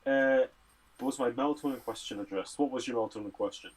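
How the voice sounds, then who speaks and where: A man's voice: mid-range voice, fast talker, husky, low-quality recording. A Scottish man who studies medicine, casually answering health and fitness questions on a livestream Q&A. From Scotland.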